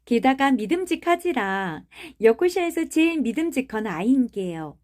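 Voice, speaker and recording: A woman's voice: sits high, sing-song, moderate pace, darkish tone, voice pushed up. A woman voicing a blunt, cold-mannered little girl in an audiobook. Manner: curt, cold. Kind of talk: audiobook character reading.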